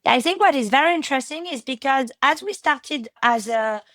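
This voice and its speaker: A woman's voice: high voice, expressive, moderate pace, fairly bright tone, no echo. A woman in her thirties with a French accent, talking casually as a podcast guest, with many fillers and restarts. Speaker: podcast guest, product executive. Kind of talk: casual interview talk.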